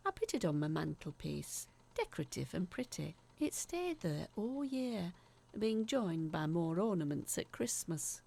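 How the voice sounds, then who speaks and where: A woman telling a spooky first-person story in a dramatic, uneasy, confiding way, sometimes dropping to a hush. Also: on the low side, gravelly, breathy edge. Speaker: narrator of a horror audio story.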